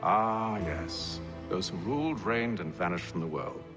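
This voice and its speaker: A clipped, composed English gentleman. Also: deep voice, expressive, measured pace, music in the background. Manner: clipped, reserved and commanding. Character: composed, curt adult male English gentleman. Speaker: nobleman attending the opera. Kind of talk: video game cutscene acting.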